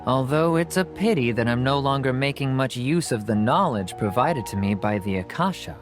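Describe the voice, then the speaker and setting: A woman's voice: a bass voice, animated, moderate pace, over music. A woman voicing a friendly, chatty potter who runs a stall in a video game. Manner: warm sales talk, chatty.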